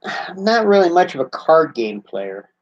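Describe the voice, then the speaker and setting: A man in his fifties from the United States, chatting casually on a home livestream, relaxed and halting with frequent restarts, seated close to a webcam. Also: on the high side. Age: 50s. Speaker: livestream host answering viewer questions. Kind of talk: casual live Q&A chat. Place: small room at home, seated in armchair indoors. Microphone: webcam at close range. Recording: slightly dull lo-fi webcam audio.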